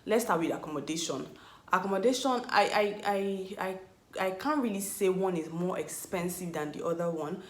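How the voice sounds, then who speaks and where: Low-voiced Nigerian woman vlogging. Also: moderate pace. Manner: rambling and self-correcting, often searching for words. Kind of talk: casual talk to camera. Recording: echoey room.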